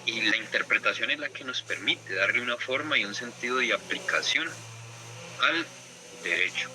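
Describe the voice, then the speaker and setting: Man, law lecturer, measured and deliberate, mid-pitched voice. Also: animated, background noise. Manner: slow, deliberate, pausing between phrases. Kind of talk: university lecture.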